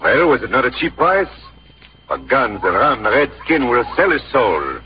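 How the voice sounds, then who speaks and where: A man's voice: medium pitch, big pitch swings, normal speed, lightly acted. An actor playing a shrewd, evasive, scornful frontiersman in a dismissive 1950s radio drama manner. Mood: defensive, scornful. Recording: muffled old radio broadcast.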